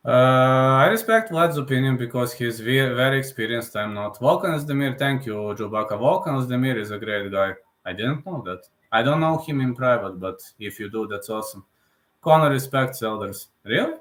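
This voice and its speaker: A man's voice: mid-range voice, animated, moderate pace, fairly bright tone. A man with a Serbian accent, a native Serbian speaker, chatting casually on a livestream, answering viewers with opinionated, lecturing talk and frequent hesitations. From Balkans. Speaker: MMA analyst streamer.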